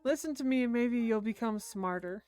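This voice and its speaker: A woman's voice: fairly high pitch, expressive, moderate pace, darkish tone, no room sound, natural voice. A woman voicing a sly, menacing fox girl from a horror visual novel, teasing and taunting. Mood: mocking, teasing.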